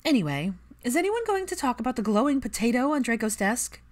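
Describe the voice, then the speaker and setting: A sharp-tongued young woman as a female audiobook reader puts her on, raised in pitch and loud. She is brash and incredulous, and she leans on her words with open sarcasm. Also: sing-song, quick pace. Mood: blunt. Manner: emphatic, sarcastic delivery. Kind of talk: acted audiobook dialogue.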